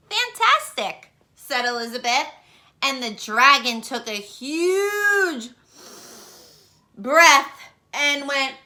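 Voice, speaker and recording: A woman storyteller reading aloud to children in an expressive way, putting questions to her young listeners. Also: high voice, extremely slow, pauses often. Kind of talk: animated children's read-aloud.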